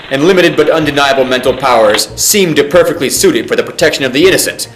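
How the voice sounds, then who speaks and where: A man's voice: fairly high pitch, close to an everyday voice. Man playing a pompous government film narrator, echoey, music behind. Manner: formal, pompous, deliberate enunciation. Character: solemn male military briefing narrator, grave and officious.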